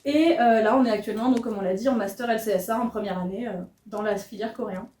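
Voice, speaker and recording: A woman's voice: fairly high pitch, fast, darkish tone. A woman in her early twenties from southern France, a master's student, chatting about her studies and laughing often. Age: 20s. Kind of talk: casual podcast chat. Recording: echoey room, mic far off.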